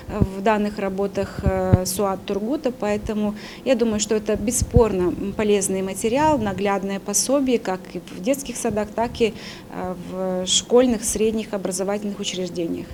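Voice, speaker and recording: Woman, interview statement, halting short phrases, mid-range voice, faint ambient noise. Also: mid-range voice. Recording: light background noise on location.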